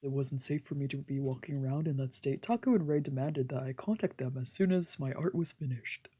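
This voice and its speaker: A woman's voice: a bass voice, brisk, mellow, husky. A woman reading a visual novel aloud for a let's play, moving between story narration and casual, slangy asides. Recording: music playing behind the voice.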